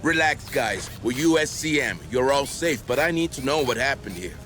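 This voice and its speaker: An actor playing a field marine in a sci-fi game, by turns soothing and excitable. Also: male voice, mid-range voice, normal speed, faint music behind, close to an everyday voice. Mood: reassuring. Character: field marine, calming to civilians, excitable in danger.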